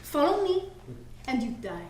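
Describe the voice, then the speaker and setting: Woman as a high-pitched, scornful young French thief. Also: sing-song, measured pace. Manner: curt, scornful. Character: mysterious, disdainful young French man, agile thief. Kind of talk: comedy script table read. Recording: echoey room, faint noise.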